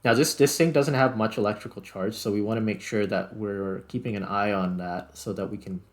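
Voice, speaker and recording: A man giving casual gameplay commentary, thinking aloud in short, halting phrases. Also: lowish voice, fairly even intonation. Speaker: gaming video host.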